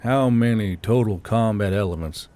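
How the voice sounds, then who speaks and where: A man's voice: lowish voice, normal speed, dark tone, natural voice. A man voicing a weary, wry military commander in a story, terse and musing, with faint noise behind. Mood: wry, probing.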